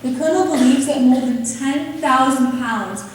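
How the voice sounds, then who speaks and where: Gentle high-voiced young gentlewoman, acted by a woman. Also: normal speed, close to an everyday voice. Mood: worried. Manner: formal period speech. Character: gentle, worried young gentlewoman, self-effacing elder sister. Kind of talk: amateur stage play acting. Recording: distant echoey hall, faint noise.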